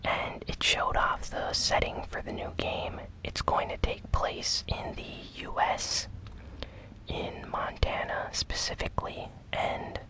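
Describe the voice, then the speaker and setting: A host whispering a gaming news recap in a gentle, deliberate, breathy ASMR style. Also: rough recording. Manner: slow, gentle, deliberate delivery with short phrases.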